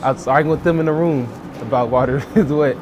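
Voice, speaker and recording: A man's voice: mid-range voice, quick pace, noisy background. A man from the American Midwest answering casually in an on-camera interview. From Chicago, United States. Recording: music behind speech.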